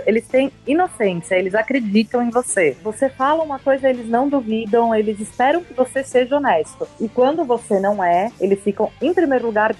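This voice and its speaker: A Brazilian woman speaks in a fairly high voice as a podcast guest. She gives anecdotes and personal views conversationally, with faint music underneath. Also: a little mellow, slightly rough. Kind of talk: casual anecdote and opinion.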